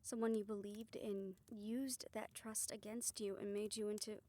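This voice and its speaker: A woman playing a remorseful scientist in an intimate audio drama, speaking softly and haltingly as if confessing. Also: medium pitch, level tone, lightly acted. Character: remorseful female scientist haunted by her past experiments. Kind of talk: soft, intimate audio roleplay acting.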